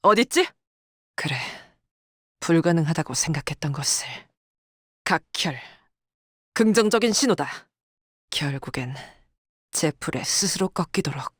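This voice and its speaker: A woman's voice: low-pitched, animated, a little slow, long pauses, breathy edge, no echo, studio quality, exaggerated voice. A woman voicing a proud, stern female military tactician in a game, with clipped, formal, commanding delivery. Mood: stern, scornful. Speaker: game character voice. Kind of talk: dramatic scripted game dialogue. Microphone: close, dry studio microphone.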